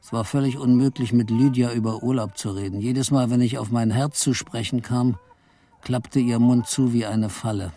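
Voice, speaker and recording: An actor playing an embittered, henpecked office clerk in a radio play, brooding and theatrical as narrator and husband. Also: male voice, mid-range voice, steady tone, moderate pace, mellow, close to an everyday voice. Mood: bitter, brooding. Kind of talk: radio play, dramatic first-person narration and dialogue.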